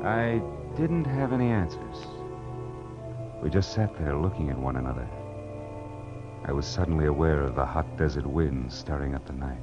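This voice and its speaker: An actor playing a wry, world-weary private detective in 1940s radio noir style, clipped and sardonic. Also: male voice, rumbling low, animated, moderate pace, music in the background. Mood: hushed, intense. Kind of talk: 1940s radio noir acting with first-person narration. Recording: dull, hissy old radio recording with hum.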